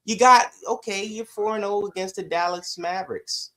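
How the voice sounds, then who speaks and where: Sports podcast guy, kinda high voice, really sharp and punchy, casual debate, insistent. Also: normal speed, rough recording. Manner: emphatic, insistent.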